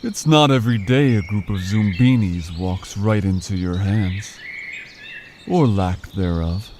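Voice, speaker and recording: An actor playing a smug, vengeful alien gang leader in an audio drama, taunting with mock politeness. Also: male voice, on the low side, unhurried, darkish tone, lightly acted. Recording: faint background noise.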